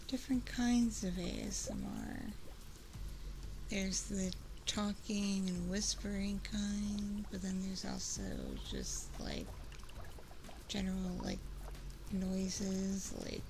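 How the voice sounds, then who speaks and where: A woman chatting casually in a soft, confiding ASMR livestream style, close on a binaural microphone. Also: mid-range voice, creaky, background noise.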